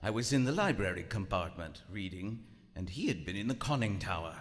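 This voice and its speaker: A man's voice: fairly low pitch, animated, a little fast, natural voice. A man playing a haughty, coldly rational German submarine commander in a live radio drama, with formal, precise, theatrical diction. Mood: grave, measured. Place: on stage in a large historic theater. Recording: live theater hall with reverb, music behind.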